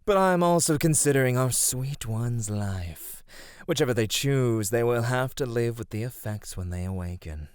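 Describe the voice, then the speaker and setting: A man's voice: medium pitch, expressive, moderate pace, smooth, dry recording, studio quality, natural voice. A man voicing a sly, flirty immortal god of beauty in an ASMR roleplay, teasing and coaxing without hurry.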